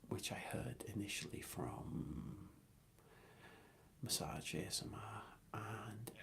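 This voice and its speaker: A man whispering an ASMR question-and-answer ramble in a soft, hesitant and thoughtful way. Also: on the low side, animated, takes it slowly, gravelly, a little hiss or hum.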